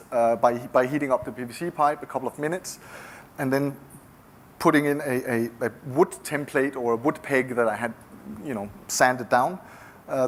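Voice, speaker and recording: A man's voice: mid-range voice, a little hiss or hum. Danish-accented man giving a talk. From Denmark. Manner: conversational, halting with many restarts. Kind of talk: conference talk.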